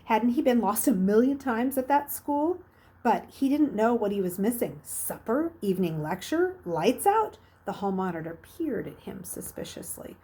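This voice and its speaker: A woman's voice: on the high side, very animated, moderate pace, dark tone. Woman reading a story aloud expressively. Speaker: teacher reading aloud to children.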